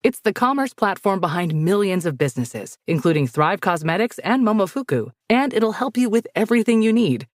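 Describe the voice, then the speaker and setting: A woman's voice: medium pitch, very animated, brisk, hardly any pauses, dry recording, clean studio sound. A woman announcer reading a scripted advertisement. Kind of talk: scripted ad read.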